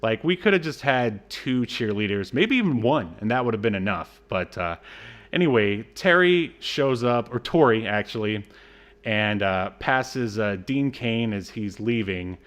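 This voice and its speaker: A man co-hosting a podcast, casually reviewing a film in a halting, wryly exasperated way. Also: fairly low pitch, lively intonation, fairly bright tone. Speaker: podcast co-host.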